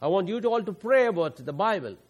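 A man with an Indian accent, a visiting pastor preaching to a church congregation in an earnest, halting way with frequent restarts. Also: sits high, big pitch swings, slightly rough, muffled recording.

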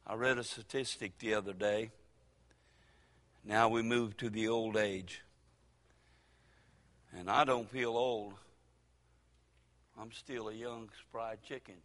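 Older male pastor, folksy sermon delivery, forceful bright tone, long church reverb. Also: lowish voice, fairly even intonation. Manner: folksy preaching with dramatic pauses and sudden loud rises.

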